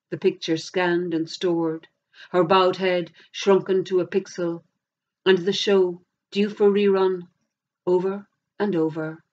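A middle-aged woman with an Irish accent, a poet reading verse aloud in a measured, deliberate way. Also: on the low side, a little mellow. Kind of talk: poetry reading. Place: plain indoor room against a bare wall. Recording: dull lo-fi camera sound.

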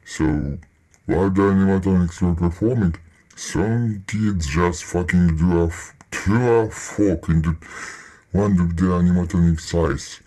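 Weary male repair worker, acted by a man, slow, hesitant, casually sweary, dictating an employee log. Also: sits low, mellow. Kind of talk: rambling employee audio log.

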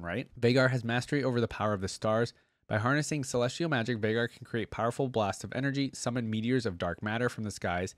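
A man's voice: lowish voice, no room sound. A man hosting a reaction video, reading aloud and breaking off for casual, exclaiming asides.

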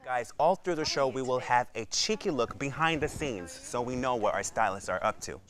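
A young man hosts a studio fashion show, chatting upbeat with his guests. His pitch swings widely and brightly from line to line. A soft bed of music sits faintly behind him. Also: animated, moderate pace. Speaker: host. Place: TV studio set.

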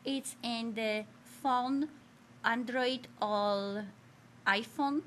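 A woman's voice: fairly high pitch, drawn-out words, a little hiss or hum. A middle-aged woman from Poland with a Polish accent, hosting a health show for seniors in broken English, earnest and encouraging. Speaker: host. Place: studio in front of a green screen.

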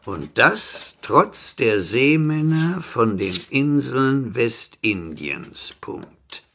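An actor playing a sinister, imperious merchant-alchemist in a radio drama, dictating formally and deliberately. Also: male voice, mid-range voice, sing-song, a bit bright, heavily acted. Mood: secretive, calculating.

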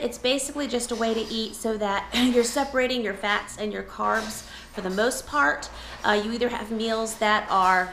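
A woman's voice: medium pitch, creaky, fairly bright tone, a little hiss or hum. A woman in her thirties, a home vlogger, chatting casually and rambling with lots of fillers while showing her groceries in her dining room. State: pregnant.

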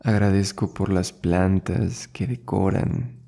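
A man with a low, gravelly voice guides a meditation. He speaks very slowly and soothingly, in short phrases separated by long pauses. His delivery stays very even in pitch. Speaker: yoga and meditation instructor, host.

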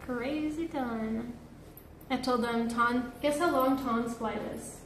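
A woman's voice: on the high side, takes it slowly. A woman in her twenties chatting casually on a livestream. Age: 20s. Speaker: streamer co-host. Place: small kitchen, indoors. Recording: roomy echo, faint noise.

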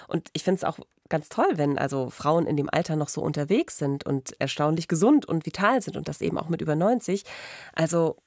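Young woman, dry creaky podcast voice. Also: sits low, a little mellow, dry recording. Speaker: podcast co-host. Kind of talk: conversational questions and commentary. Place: small radio studio. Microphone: close studio boom mic with pop filter.

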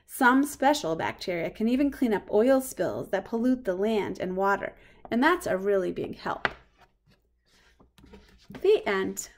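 A woman reading a picture book aloud for young children in a simple, expressive way. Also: mid-range voice, measured pace, a little hiss or hum. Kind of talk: children's storybook read-aloud.

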